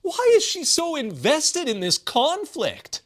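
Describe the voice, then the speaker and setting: Wry man with lively, swinging intonation. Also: very high-pitched, slow pace. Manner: sardonic, wry, exaggerated emphasis. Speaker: video game commentator. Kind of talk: scripted video essay commentary.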